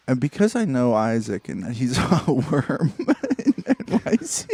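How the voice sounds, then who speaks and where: Male co-host, soft dark timbre, dry close sound, wry and musing in informal comic conversation. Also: mid-range voice, huge pitch swings, a little slow, slightly rough, dry recording. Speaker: podcast co-host. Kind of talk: casual comedic chat.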